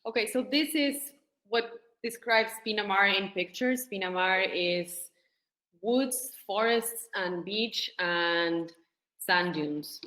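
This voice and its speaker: A woman's voice: medium pitch, takes it slowly, pushed and bright, reverberant, poor audio. A woman, speaking as a panelist on a video call in a friendly way, a little flustered by her screen share. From Argentina.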